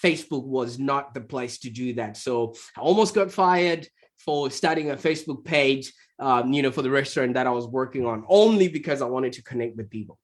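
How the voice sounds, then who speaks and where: A man from Zimbabwe, chatty and animated, telling his story as a guest on a call interview. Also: mid-range voice, brisk. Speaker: guest, agency founder. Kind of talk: conversational interview over a call. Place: remote call.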